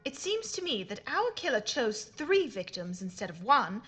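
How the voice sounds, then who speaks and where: A woman's voice: fairly high pitch, big pitch swings, moderate pace, a bit bright, husky, faint music behind, full character voice. An actress playing a plucky, witty British aristocratic lady sleuth in a period audio drama, with an arch, refined, playful delivery. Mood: keen, probing. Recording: faint background noise and ambience.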